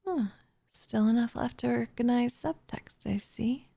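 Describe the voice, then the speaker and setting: A woman's voice: fairly high pitch, measured pace, creaky, warm tone. A woman voicing a doting, possessive witch in an intimate ASMR roleplay, cooing and teasing with smug condescension, often slipping into a whisper close to the microphone. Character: doting, possessive young witch turning captive into pet cat.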